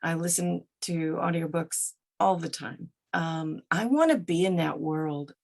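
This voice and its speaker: A woman who works as an audiobook narrator, giving conversational interview answers in deliberate, articulate phrases. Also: sits low, creaky, a little mellow.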